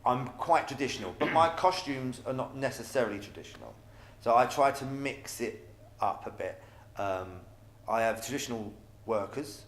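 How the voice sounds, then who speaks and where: A middle-aged man in a casual interview about stage work, often repeating and restarting his phrases. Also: fairly low pitch. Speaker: pantomime dame performer. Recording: echoey room with faint background noise.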